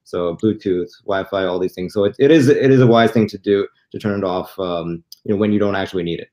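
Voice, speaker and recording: Male guest commentator, fairly low voice, conversational explainer who rephrases as he goes, video call. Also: brisk, dark tone. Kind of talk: casual explanation.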